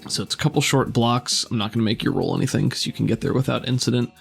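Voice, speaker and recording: A man running a tabletop role-playing game as game master, narrating scenes in a relaxed, descriptive way. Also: medium pitch, quick pace, gravelly, slightly rough, soft background music.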